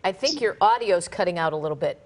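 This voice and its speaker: A woman's voice: fairly low pitch, lively intonation, fast. A woman co-hosting a live radio interview, friendly and chatty, laughing easily. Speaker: radio co-host.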